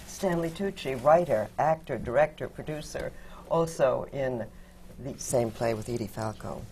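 A woman's voice: very deep voice, expressive, normal speed, mellow, a little hiss or hum. A woman moderating a theater panel discussion and introducing the guests one by one.

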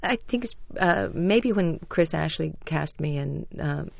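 Low gravelly-voiced woman telling stories. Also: lively intonation, unhurried, a little mellow, no echo. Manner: chatty, anecdotal, self-deprecating. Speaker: stage actress, interview guest. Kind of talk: radio interview, storytelling.

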